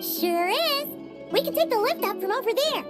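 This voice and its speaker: A tiny floating fairy companion in a game cutscene, with a very high, squeaky voice that leaps up and down. She is blunt and teasing and refers to herself by name. A faint orchestral score runs behind her. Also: expressive, loud music behind the voice. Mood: chatty, teasing. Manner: talks about herself in third person, quick to tease.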